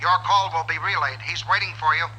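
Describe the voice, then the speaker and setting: A man's voice: fairly high pitch, fairly even intonation, fairly quick, sharp tone, slight background noise, poor audio. A man playing an impersonal radio operator in a 1950s film, clipped and procedural, heard through a radio filter. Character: crisp, impersonal radio operator relaying instructions.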